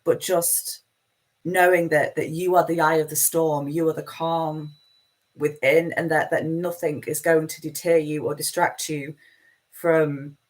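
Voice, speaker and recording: A woman's voice: low voice, flat delivery, unhurried, rough recording. A woman with a Manchester accent giving a meandering, self-deprecating spiritual talk as host of a live session. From Manchester, England.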